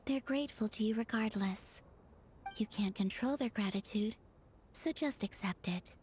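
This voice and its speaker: A woman's voice: mid-range voice, normal speed, a little hiss or hum, close to an everyday voice. A woman voicing a small, bubbly, girlish game companion who talks in an eager, exclamatory way. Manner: bright, animated, exclamatory. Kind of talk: video game voice acting. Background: game music.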